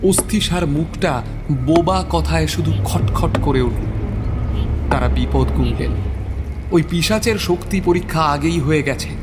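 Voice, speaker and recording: A man narrating a scripted horror story in a suspenseful, theatrical manner. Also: mid-range voice. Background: prominent dramatic music.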